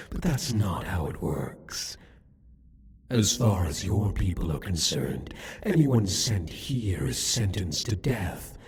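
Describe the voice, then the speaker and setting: Man voicing a husky, haughty demon king. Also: lowish voice, darkish tone, heavily acted. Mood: cold, haughty. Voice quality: breathy, husky. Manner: taunting, condescending, deliberate, theatrical. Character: cruel, possessive male demon king, mocking and haughty. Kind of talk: ASMR roleplay, addressed to the listener.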